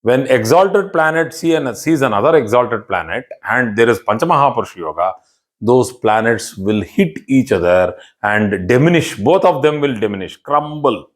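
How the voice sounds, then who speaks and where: A man's voice: medium pitch, lively intonation, moderate pace. A man with an Indian English accent, a native Kannada speaker, teaching an online astrology class in an explanatory, emphatic way and repeating key phrases. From southern India.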